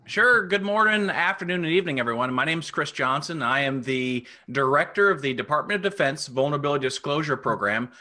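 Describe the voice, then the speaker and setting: Man in his forties, panelist, bright forceful voice, lots of uhs. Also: fairly high pitch, expressive. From New York state. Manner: halting with many fillers. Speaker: program director. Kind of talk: panel self-introduction. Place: home room. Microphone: webcam on video call.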